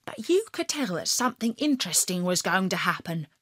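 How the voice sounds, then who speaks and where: A woman narrating a children's story in first person as a boastful hare, playful and lively. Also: medium pitch, brisk, slightly rough, dry recording, clean studio sound.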